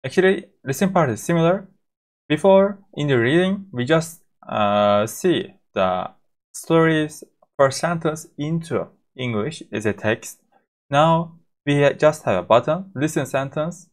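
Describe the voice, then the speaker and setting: A man with a Turkish accent, a native Turkish speaker, teaching a course by walking through a software demo, with frequent fillers and halting restarts. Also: on the high side, lively intonation. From Turkey. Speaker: course instructor.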